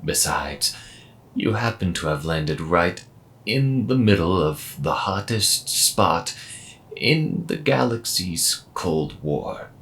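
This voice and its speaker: A man playing a friendly, calm robot mechanic with dry humour and a measured, gently wry delivery in an audio roleplay. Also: low voice, full character voice. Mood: calm, reassuring. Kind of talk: slow intimate audio roleplay acting.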